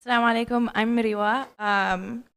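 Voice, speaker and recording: An eighteen-year-old woman introducing herself on a panel, casual and a little hesitant. Her voice is smooth and clear. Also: on the high side, steady tone, a little fast, a bit bright. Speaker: panelist, college student.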